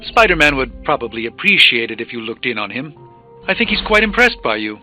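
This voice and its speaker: A man's voice: mid-range voice, very animated, a little fast, bright, forceful tone. A man voicing a confident, dryly witty sorcerer superhero in a video game, with theatrical, articulate delivery. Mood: wry, composed. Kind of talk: scripted video game dialogue. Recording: muffled game audio under clear music.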